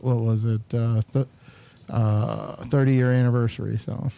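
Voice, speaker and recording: A male show host chatting casually in a soft-edged, mellow voice. He takes his time, rambling and halting as he goes. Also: mid-range voice, steady tone, gravelly. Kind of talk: casual studio chat.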